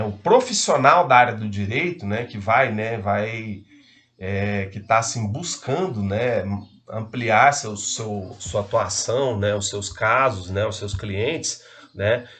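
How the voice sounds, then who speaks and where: A man's voice: medium pitch, a bit bright. A man giving a casual law lecture, hesitant and full of fillers and tag questions.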